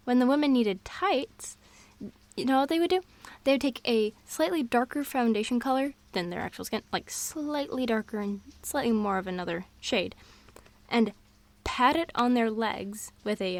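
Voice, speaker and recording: A woman in an intimate girlfriend roleplay, rambling through fun facts in a scatterbrained, excitable way. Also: on the high side. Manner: scatterbrained, excitable, jumps between topics. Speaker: audio roleplay performer.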